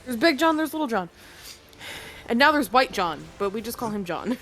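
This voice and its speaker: A chatty young adult tells anecdotes to friends on an online gaming voice call, laughing through the stories and swearing casually. The voice sits in a middle pitch range. Faint game music plays underneath. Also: sing-song, normal speed, a bit bright. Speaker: gamer on a group voice call. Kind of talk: casual storytelling while gaming.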